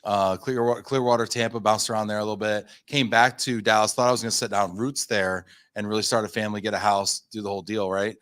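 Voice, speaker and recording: Male guest, 30s, lower voice, chatty rambler, dry close recording. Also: fairly even intonation, fast talker, few pauses, fairly bright tone, smooth, no room sound. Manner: chatty, self-deprecating, rambling storyteller. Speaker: guest, company founder. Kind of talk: casual interview talk.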